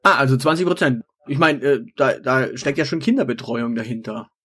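A man's voice: medium pitch, a little fast, bright, forceful tone, husky. A man hosting an informal interview show, asking questions casually and often stumbling over and repeating his words. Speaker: podcast host and interviewer.

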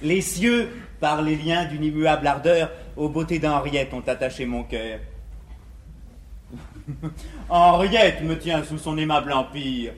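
An actor playing an earnest, exasperated young gentleman in a classical verse comedy, declaiming formally in 1950s stage style. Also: a little high, takes it slowly, pauses often. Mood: earnest, pleading. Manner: declamatory, formal, emphatic delivery of rhymed verse. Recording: echoey, hissy 1950s theatre recording.

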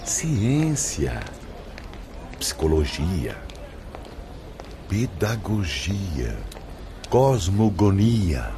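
A man's voice: sits low, big pitch swings, very slow, long pauses, warm tone, slight background noise, heavily acted. An actor playing an eager, reverent male spirit pupil, full of wonder, in a radio drama. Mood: amazed.

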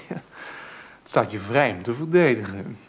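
An actor playing a provocative, smug young atheist in a Dutch radio drama, teasing and condescending. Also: male voice, medium pitch, expressive, moderate pace, husky. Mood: mocking.

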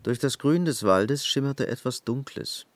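A man's voice: mid-range voice, lively intonation, measured pace. A man narrating an audio drama as a scripted literary story. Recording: music bed behind the voice.

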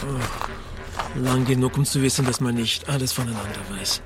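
A male actor's middle-aged investigator for a radio play, mid-pitched and measured. He sounds dry, wry and unflappable, with music clearly underneath. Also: close to an everyday voice. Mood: guarded, dry. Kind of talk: radio drama acting with first-person narration.

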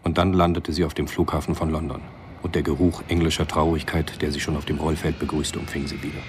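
A man with an extremely deep voice narrates a radio drama. He is measured and sombre in a literary storytelling style, with faint noise behind him. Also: level tone.

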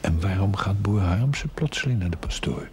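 An actor playing a wry, teasing confidant in a television drama, with a Dutch accent and a hushed, sardonic delivery. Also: male voice, low-pitched, animated, normal speed, a little hiss or hum. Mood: wry, gossiping. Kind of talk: television period drama acting.

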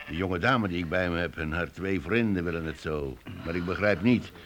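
An actor voicing a kindly master who leads travellers with measured, friendly authority in a radio play. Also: male voice, low-pitched, close to an everyday voice. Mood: practical. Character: calm, kindly master leading a small travelling party. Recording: noisy old radio play recording.

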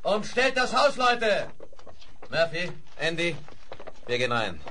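A commanding Western sheriff in an old radio drama. The voice is forceful and swings widely, with measured, emphatic orders over slight record noise. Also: male voice, a little high, very animated, full character voice. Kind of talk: 1970s German radio play acting. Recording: noisy old vinyl radio play recording.

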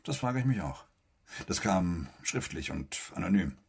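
An actor playing a stern, threatening middle-aged army major in a German radio play, with a biting, insulting manner. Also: male voice, low-pitched, big pitch swings, a little slow, gravelly, slightly rough, heavily acted. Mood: cold, suspicious.

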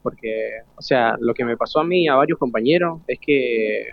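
A man's voice: medium pitch, normal speed, creaky, no echo. A teenage male student with an Argentine accent, telling his experiences informally in an interview. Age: teens, about 17. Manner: informal, youthful storytelling. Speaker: student guest. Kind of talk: casual interview talk. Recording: tinny, phone-like live broadcast sound.

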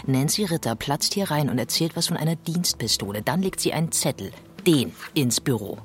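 A man's voice: a little high, lively intonation, brisk, a bit bright, slight background noise. A man playing a matter-of-fact, persistent police detective in a radio drama, speaking in an insistent, businesslike way. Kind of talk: German radio drama acting.

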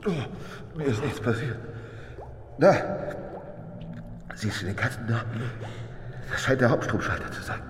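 A man's voice: medium pitch, animated, a little slow, long pauses, reverberant, big-room sound, slight background noise, close to an everyday voice. An actor playing an uneasy, wry male investigator in a radio drama, wary with a dry irony. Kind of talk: German radio drama acting.

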